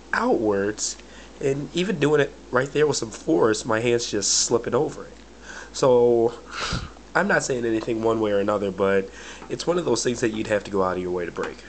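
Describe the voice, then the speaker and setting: A man's voice: mid-range voice, animated, normal speed, a little hiss or hum, poor audio. A man with a bad cold and a slightly cracky voice, casually reviewing a product and rambling with lots of fillers. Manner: rambling, many fillers like "but anyway" and "or whatever".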